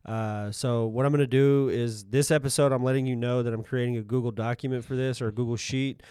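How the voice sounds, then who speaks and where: A man's voice: medium pitch, fairly even intonation, fairly quick, warm tone, clear, resonant tone, dry recording, clean studio sound. A man co-hosting a casual podcast, talking in a rambling way and rephrasing himself as he goes. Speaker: podcast co-host.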